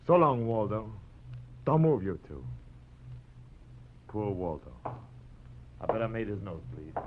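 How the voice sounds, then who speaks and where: A boozy, sneering gunman in old radio crime drama. He talks very slowly and tauntingly, with swinging pitch. The recording is thin and tinny. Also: big pitch swings, a little hiss or hum. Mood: taunting menace. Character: drunken, sneering male gunman, menacingly casual. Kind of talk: 1940s radio drama acting.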